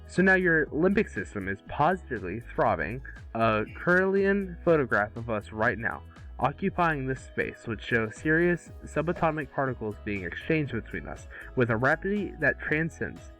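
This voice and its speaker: A man's voice: medium pitch, big pitch swings, moderate pace, fairly bright tone, soft background music. A man reading the part of a cocky, glib billionaire playboy in an amateur table read, flippant and rambling, sometimes stumbling over big words.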